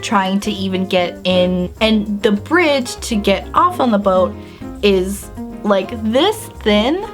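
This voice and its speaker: A woman's voice: medium pitch, takes it slowly. Young woman vlogging, bubbly storytime, lots of "like", faint music in the background. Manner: bubbly, animated storytelling with lots of "like" and acted-out reactions. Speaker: beauty and lifestyle vlogger. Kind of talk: casual storytime vlog.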